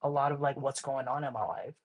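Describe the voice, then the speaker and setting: A man's voice: medium pitch, flat delivery, a little fast. A young man from Hawaii, a guest artist in a casual interview, talking in a laid-back, slangy way full of "like" and "you know".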